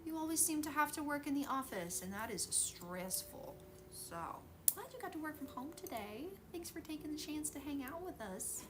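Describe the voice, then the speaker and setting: Lively, high-voiced woman streamer. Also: moderate pace, background noise. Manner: bubbly, greets chat warmly. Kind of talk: casual chat on a craft stream.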